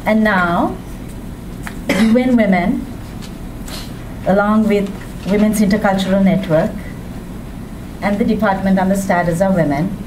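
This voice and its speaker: Woman from Sri Lanka, public speech, slow measured pace, distant mic, noisy background. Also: mid-range voice. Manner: measured, deliberate delivery with emphatic rises. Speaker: women's rights advocate speaker.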